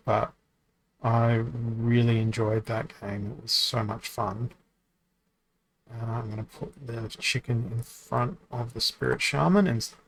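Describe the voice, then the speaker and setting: A man's voice: medium pitch, creaky, slight background noise, rough recording. A man live-streaming a card game, chatting casually with his viewers, rambling with frequent ums and restarts. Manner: reads and answers viewer chat.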